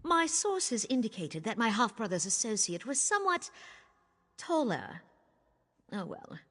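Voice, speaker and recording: A woman voicing a scornful, cruelly seductive usurper queen in a video game, husky, imperious and disdainful. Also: on the high side, very animated, measured pace, fairly bright tone, full character voice. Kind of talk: video game character acting. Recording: long reverb of a large hall.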